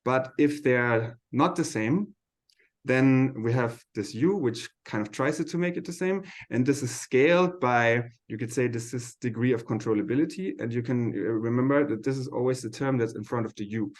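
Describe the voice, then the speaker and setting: Male seminar lecturer, mid-pitched, informal and halting, thinking aloud through technical explanations.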